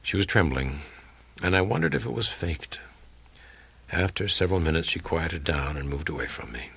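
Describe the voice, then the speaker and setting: A man reading a crime audiobook as a world-weary, blunt male investigator who tells his case in a terse, dry, deliberate way. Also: low-pitched, animated, vocal fry, fairly bright tone, breathy edge, full character voice. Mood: guarded, measured. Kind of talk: dramatic first-person audiobook reading of hardboiled crime fiction.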